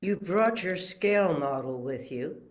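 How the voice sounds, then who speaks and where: A man's voice: on the high side, expressive, measured pace. A man reading a thoughtful audience member in scripted conversational dialogue. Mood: reflective. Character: thoughtful male audience member discussing the case. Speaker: actor in a screenplay table read.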